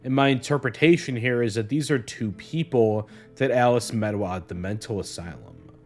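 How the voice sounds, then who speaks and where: A man narrating a scripted video essay in a measured, earnest way. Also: mid-range voice, lively intonation, darkish tone. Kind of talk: scripted analytical narration of a horror series. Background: guitar music.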